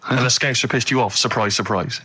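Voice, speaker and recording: A man's voice: mid-range voice, fairly quick, pushed and bright, slightly rough. A man co-hosting a radio show with casual, teasing banter. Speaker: radio co-host.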